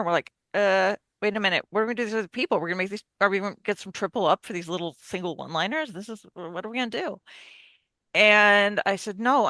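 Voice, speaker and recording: A woman's voice: mid-range voice, a bit bright, dry recording. A woman guest chatting casually on a podcast, recounting things emphatically and acting out bits of remembered conversation. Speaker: podcast guest, radio play narrator.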